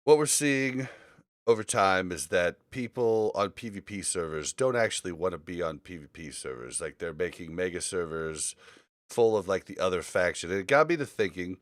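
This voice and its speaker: A man's voice: low voice, expressive, moderate pace, no echo, clean studio sound. A man chatting casually on a gaming podcast, giving opinions in a rambling, storytelling way. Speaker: podcast host.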